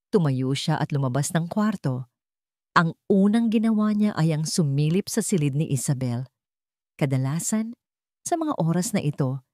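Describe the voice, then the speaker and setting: Female story narrator, Filipino accent, low register, very dry close sound, scripted dramatic read. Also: warm tone, no echo.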